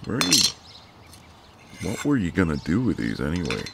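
A man playing a friendly, gallant, gently teasing ex-marine neighbour in an intimate, easygoing one-sided roleplay. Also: low-pitched, wildly swooping pitch, a little slow, creaky, very noisy, full character voice. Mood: gently teasing, insistent. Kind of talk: intimate ASMR roleplay, one side of a conversation.

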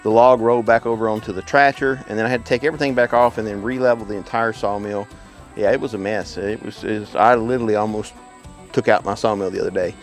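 A man's voice: fairly low pitch, fairly even intonation, fast talker, faint music behind. A middle-aged man vlogging casually while working a sawmill outdoors, rambling and self-deprecating with frequent restarts. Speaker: homestead vlogger.

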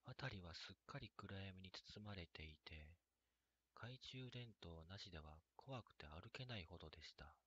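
A man narrating a scripted first-person story in a measured, hushed way. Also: low-pitched, lively intonation, husky, muffled recording. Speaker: reader of own horror and drama stories.

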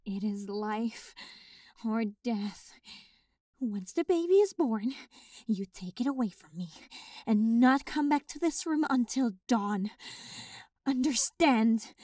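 A woman playing a desperate, commanding wife in labour, grave and halting, in a gothic horror radio play. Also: on the high side, animated, mellow, no echo, clean studio sound, far from the mic. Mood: grave, urgent.

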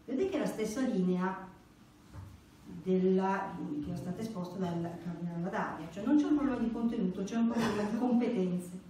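A middle-aged woman speaks as a lecturer at a religious conference. Her voice is soft-edged and mellow, her delivery conversational yet emphatic. The room rings heavily around her and she sounds somewhat away from the microphone. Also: medium pitch, a little fast. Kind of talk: explanatory religious lecture, partly read from notes. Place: indoor church meeting room. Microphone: stand microphone. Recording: very echoey room, distant, faint hiss.

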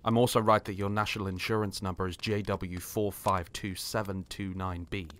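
A man playing a gentle, mysterious stranger in a naturalistic drama reading, soft-spoken, hesitant and earnest. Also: low-pitched, level tone, moderate pace. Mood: earnest, insistent.

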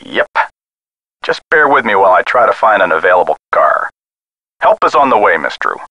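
American police sergeant, forceful bright voice, dismissive, patronizing, dry close sound, game phone call. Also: male voice, lowish voice, very animated, moderate pace, no echo, full character voice. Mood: placating. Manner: brusque, folksy, condescending. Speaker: police missing-persons officer. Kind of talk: video game voice acting.

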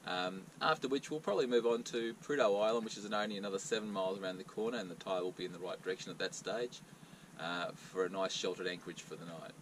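A man's voice: deep voice, steady tone, quick pace, a little hiss or hum. A man, talking casually to camera from the cockpit of a small yacht, with many ums and uhs. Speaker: sailing vlogger.

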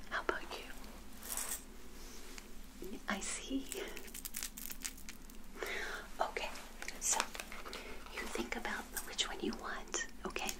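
Friendly witch, played by an older woman, ASMR roleplay: soft whisper, slow delivery, gentle and eager. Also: a little high, rough recording. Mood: delighted, gentle. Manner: gentle, unhurried, repeats phrases softly. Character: friendly, eager, naive lonely witch serving tea.

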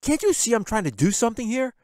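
A man voicing a rude, pig-headed young boy in an audiobook, snapping and yelling meanly. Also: very high-pitched, sing-song, fast talker, no room sound, clean studio sound, voice pushed up. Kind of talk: audiobook character reading.

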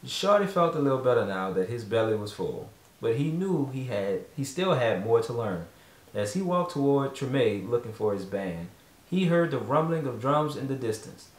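A man's voice: medium pitch, big pitch swings, normal speed. A man narrating a children's storybook as a father reading aloud at home, away from the microphone. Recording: echoey room, distant mic.

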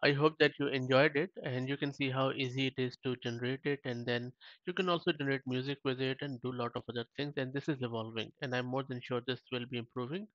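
Chatty man demoing software, dull dry sound. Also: medium pitch, fairly even intonation, quick pace, no echo. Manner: chatty, thinks aloud, frequent restarts. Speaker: tech tutorial host. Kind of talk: casual screen-share walkthrough.

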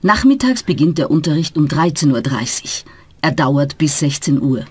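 A woman's voice: deep voice, big pitch swings, moderate pace, breathy edge, no room sound, heavily acted. Woman voicing a friendly German teacher. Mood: welcoming. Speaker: teacher in a dialogue. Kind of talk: slow scripted learner dialogue.

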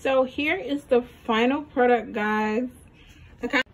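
A woman's voice: fairly high pitch, measured pace, slight background noise. Chatty young woman vlogging. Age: young adult. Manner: informal, chatty. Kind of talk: casual cooking vlog narration.

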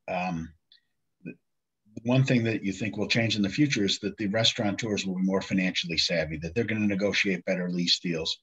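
A man in his fifties moderating a panel, putting questions in a measured, halting way. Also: low-pitched, fairly bright tone, breathy edge. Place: home office with bookshelves. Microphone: computer mic on video call. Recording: dull video-call audio.

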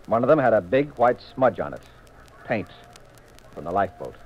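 A muffled vintage radio recording of an American detective husband. His voice is low, and he speaks with wry, teasing assurance. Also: big pitch swings, moderate pace, slight background noise. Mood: probing, accusing. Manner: wry, assured, leading questions. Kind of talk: 1950s radio mystery acting.

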